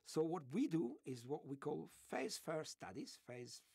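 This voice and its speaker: A man's voice: on the high side, expressive, a little slow, creaky, darkish tone, breathy edge, no echo, clean studio sound. A man from Italy with an Italian accent, a guest on an interview show, talking enthusiastically and digressively, often breaking into laughter. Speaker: guest, remote sensing archaeology expert. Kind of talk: interview answers.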